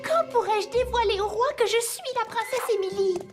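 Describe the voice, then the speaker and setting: Young cartoon princess, gentle, earnest, very high childlike pitch, loud music underneath. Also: female voice, normal speed. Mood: wistful. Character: gentle, sweet young fairy-tale princess. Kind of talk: animated fairy-tale dub.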